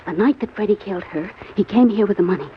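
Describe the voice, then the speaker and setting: A woman's voice: medium pitch, fast talker, natural voice. An actress playing a scheming, earnest female accomplice who implores and professes love in an old-time radio crime drama. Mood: urgent, confiding. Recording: muffled, noisy old radio recording.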